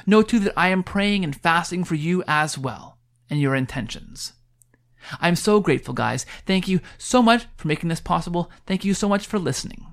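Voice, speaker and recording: A man's voice: high voice, vocal fry. A man hosting a podcast in a conversational, enthusiastic way, introducing and interviewing. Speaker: podcast host.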